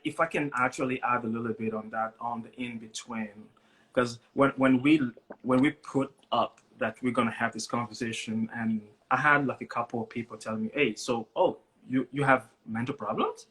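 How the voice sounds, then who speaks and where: A man talking as a guest in a live conversation, relaxed and hesitant, often repeating a word before he gets going. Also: mid-range voice, normal speed, rough recording. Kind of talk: casual heartfelt conversation. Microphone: live stream device mic.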